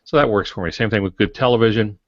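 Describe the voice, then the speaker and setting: A middle-aged man with a low voice talks casually on a writing podcast. He explains things in a measured way with dry humor, and the recording is a little dull. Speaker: podcast panelist, fiction writer. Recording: dull audio in echoey room.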